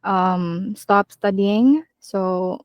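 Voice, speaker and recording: A woman in her twenties, a call center agent being interviewed, answering earnestly with many pauses and ums. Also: mid-range voice, clear, resonant tone, no room sound. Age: 20s. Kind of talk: casual interview chat.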